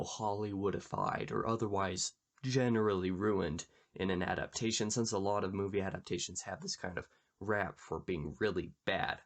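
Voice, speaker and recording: A man with a low, slightly gravelly voice hosts a casual book discussion. He explains things in a measured, conversational way, as if talking straight to his online viewers. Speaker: YouTube book channel host.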